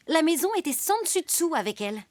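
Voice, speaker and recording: A woman voicing a grumpy, sarcastic female house pet in an animated cartoon, exasperated and sardonic. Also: high voice, fast talker, no echo.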